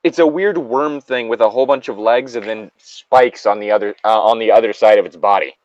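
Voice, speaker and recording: A man's voice: on the low side, steady tone, brisk, darkish tone, no room sound, highs cut off. A man chatting casually and reading aloud with friends, rambling and joking, swearing freely. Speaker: co-reader on a group creepypasta reading. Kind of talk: casual group chat and read-along banter.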